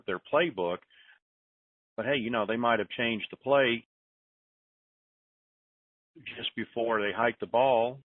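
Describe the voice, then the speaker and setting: A man's voice: fairly low pitch, dry recording. A plain-spoken, folksy man hosting an informal conference call over the telephone. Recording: narrowband tinny phone line.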